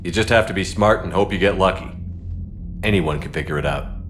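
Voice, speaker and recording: A man playing a calm, dry, resolute military commander in video game cutscene dialogue. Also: low voice, a little fast, slight background noise, natural voice. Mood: earnest, questioning.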